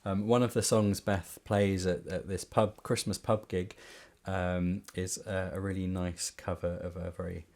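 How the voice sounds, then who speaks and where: Brief: male musician with a low voice, casual, hesitant stage chat. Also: level tone, a little slow, hardly any pauses, a little mellow. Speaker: musician in a band. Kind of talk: casual chat to a concert audience.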